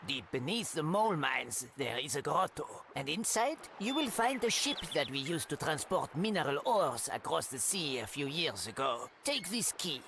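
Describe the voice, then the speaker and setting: Older mole guide: gruff, helpful, gravelly, expressive pitch, cartoon game. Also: male voice, moderate pace, hardly any pauses, noisy background. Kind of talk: cartoon adventure game acting.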